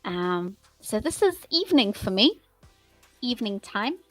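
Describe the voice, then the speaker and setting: A woman hosts a live stream as a teacher, speaking very slowly and repeating her questions for learners. The sound is dry and close, with faint music underneath. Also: medium pitch, sing-song, fairly bright tone, no echo. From England. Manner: slow, friendly, repeats phrases to learners. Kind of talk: live-stream chat with viewers.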